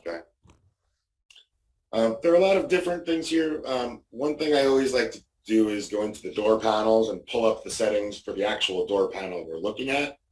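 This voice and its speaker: Low-voiced man demonstrating in an echoey room. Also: a little fast. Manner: explanatory, frequently checking with "okay". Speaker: product demonstrator. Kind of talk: informal software demo walkthrough. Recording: roomy echo, distant microphone.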